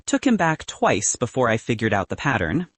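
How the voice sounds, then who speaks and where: A man's voice: mid-range voice, wildly swooping pitch, moderate pace, a bit bright, slightly rough, dry recording, heavily acted. A man voicing a candid, wry young woman physicist. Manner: dry, frank, advice-giving.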